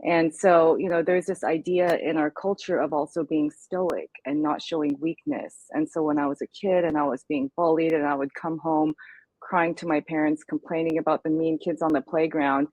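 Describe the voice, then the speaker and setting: A woman's voice: deep voice, normal speed, darkish tone, no room sound, rough recording. A woman speaking in an interview, earnest and reflective as she talks through her experience. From United States. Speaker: guest speaker. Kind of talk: candid interview conversation.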